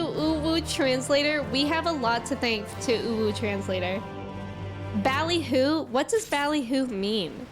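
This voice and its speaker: A woman hosting a game livestream, chatting casually in a playful, giggly manner. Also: high-pitched. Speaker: game streamer and host.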